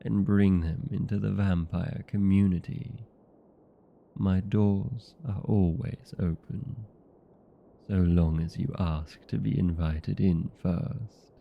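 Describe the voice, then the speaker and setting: A man voicing a courteous, proud old vampire in a comedic audio roleplay, speaking formally and unhurriedly with a gravelly voice. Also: deep voice, steady tone, slow pace, lo-fi sound, a little hiss or hum, heavily acted. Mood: earnest, reassuring.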